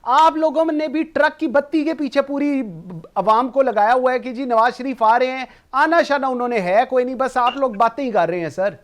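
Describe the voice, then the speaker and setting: A woman's voice: high-pitched, moderate pace, few pauses. A woman with a Pakistani accent presenting a political talk show and putting questions to guests.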